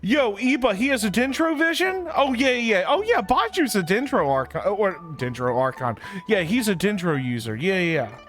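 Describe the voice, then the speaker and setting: A man's voice: sits high, big pitch swings, normal speed, hardly any pauses, a bit bright, faint music behind. A man streaming a game with casual, rambling, slangy commentary, often repeating himself.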